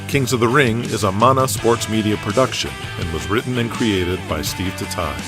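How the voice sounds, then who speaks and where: A man's voice: lowish voice, normal speed. A man reading scripted dramatic narration as the narrator of an audio drama. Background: music.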